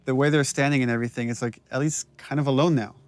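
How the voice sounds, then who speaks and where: Man giving relaxed game commentary. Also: medium pitch, fast talker, no echo. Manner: conversational, analytical, with restarts. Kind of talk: cutscene commentary.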